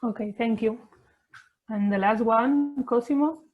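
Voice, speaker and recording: A woman's voice: fairly high pitch, narrow, tinny audio. A woman moderating an online meeting, calling on speakers briefly. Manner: brief, brisk. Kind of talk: moderating introductions.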